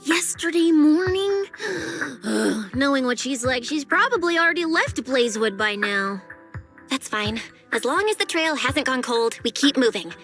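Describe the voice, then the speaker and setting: A woman playing an inquisitive, pushy young sidekick detective in a video game, expressive and probing. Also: high-pitched, a little slow, faint music behind, full character voice. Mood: exasperated, determined.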